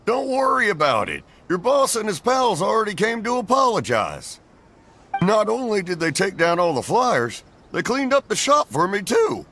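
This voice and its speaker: A man's voice: sits high, very animated, moderate pace, fairly bright tone, slight background noise, full character voice. A man voicing a genial, forgiving shopkeeper in a game, jovial and obliging. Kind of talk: anime-style game dialogue.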